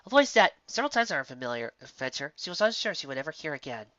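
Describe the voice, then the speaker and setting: A woman narrating a fan-fiction story in an expressive storybook style. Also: deep voice, very fast, a little hiss or hum. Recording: dull, muffled audio.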